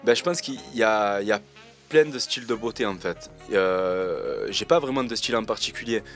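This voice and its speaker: A man, answering interview questions in a relaxed, slangy way and pausing often with fillers. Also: on the low side, faint music behind. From Marseille, France. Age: 20s. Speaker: reality TV contestant interviewed.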